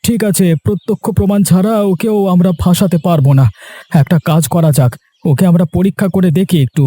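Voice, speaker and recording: A man's voice: sits high, fairly quick, warm tone, dry recording. An actor playing a loyal village man in a cartoon, speaking in an earnest, grave and deferential manner. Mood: urgent, warning. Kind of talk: dubbed cartoon dialogue. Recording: music under the voice.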